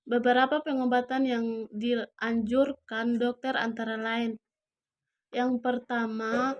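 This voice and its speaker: Young woman with flat, halting delivery. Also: a little high. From Indonesia. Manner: halting, frequent restarts on words. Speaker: nursing student. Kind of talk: reading a health lesson.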